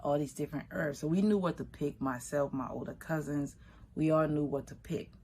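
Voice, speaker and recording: A middle-aged woman from Liberia telling stories about her grandmother in a relaxed, conversational way. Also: deep voice, brisk, slight background noise. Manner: relaxed, conversational, shifting pace, Liberian English phrasing. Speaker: vegan food business owner. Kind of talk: personal storytelling.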